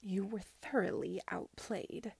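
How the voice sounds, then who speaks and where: A woman voicing a haughty, scheming young gentlewoman in an audiobook, with a cutting, commanding and disdainful delivery. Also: medium pitch, slow pace, vocal fry, slightly rough, no room sound, exaggerated voice. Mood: scornful. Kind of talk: audiobook character dialogue.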